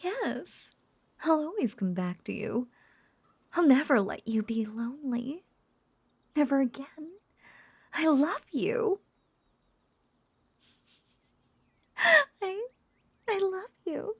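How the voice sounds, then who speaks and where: A woman playing an obsessive, lovesick young witch who coos over a captive beloved, clingy and breathy with frequent whispers, in an intimate roleplay audio drama. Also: sits high, expressive, slow pace, pauses often, darkish tone, no echo, heavily acted. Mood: desperate adoration. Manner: cooing, clingy, breathy, often dropping into whispers.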